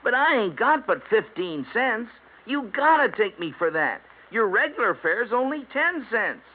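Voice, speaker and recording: A man's voice: high-pitched, big pitch swings, normal speed, voice pushed up. A man voicing a poor traveler who insists on a cheaper fare, in a whiny folksy dialect. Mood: pleading. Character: poor pleading male traveler. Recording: tinny old radio broadcast.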